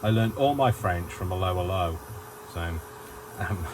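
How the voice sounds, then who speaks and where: A British man from the UK chatting through a crafting tutorial at home, rambling, self-deprecating and quick to giggle. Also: low voice, noisy background. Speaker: craft vlogger.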